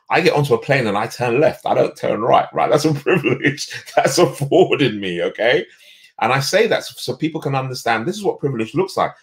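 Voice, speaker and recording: A middle-aged man with a deep, resonant voice, talking emphatically as a guest on a video call from a home office. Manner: animated, emphatic storyteller. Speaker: guest, leadership coach.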